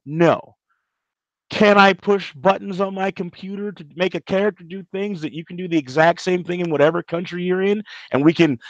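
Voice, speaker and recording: Male gaming commentator as podcast guest, slightly high voice, close dry sound, earnest and emphatic casual talk. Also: no room sound, highs cut off. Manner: earnest, emphatic, asks and answers own questions. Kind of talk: casual chat.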